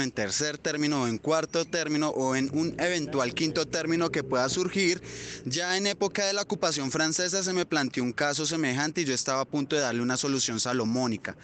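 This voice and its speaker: A man's voice: a bit bright, natural voice. A man playing a long-winded, self-important pedant telling a story in a literary radio drama. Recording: clear rumbling background noise.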